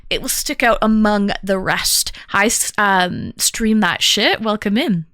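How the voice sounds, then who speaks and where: This is a woman hosting a live stream who calls herself a yapper and talks her way from one viewer greeting to the next. Her voice is bright and lively at a middle pitch. The sound is close-miked and dry, with no room around it. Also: a little slow, no echo. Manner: upbeat, chatty. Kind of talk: casual livestream chat greeting viewers.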